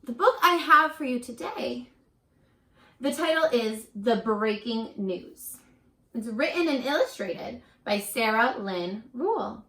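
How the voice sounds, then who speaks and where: A woman teacher reading a picture book aloud to young children, expressive and encouraging, pausing for chatty asides and questions. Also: a little high. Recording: roomy echo.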